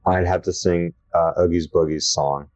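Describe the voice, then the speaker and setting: Deep-voiced young man, casual horror chat. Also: fairly even intonation, moderate pace, a little mellow, no echo. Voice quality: low voice. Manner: enthusiastic, self-described horror fanatic, rambling. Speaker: podcast co-host. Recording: dry, dull lo-fi sound.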